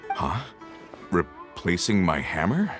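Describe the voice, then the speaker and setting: A man's voice: sits low, very animated, slow, faint music behind, full character voice. An actor playing a weary, grumbly working-class family man in a fantasy game, plainspoken and hesitant. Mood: curious. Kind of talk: scripted fantasy game dialogue.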